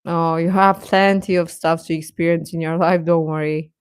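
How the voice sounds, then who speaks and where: Low-pitched woman chatting casually, close and dry. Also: steady tone, normal speed, clear, resonant tone, no room sound. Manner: reassuring, encouraging. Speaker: livestream host talking with young viewers. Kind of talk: casual livestream chat, giving advice.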